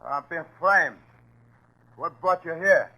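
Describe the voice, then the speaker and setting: Surly, sharp-edged gangster. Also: male voice, a little high, slow, full character voice, rough recording. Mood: defiant. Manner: slangy, tough, defensive. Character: surly, defiant cornered gangster, a tough guy. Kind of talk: 1940s radio crime drama acting.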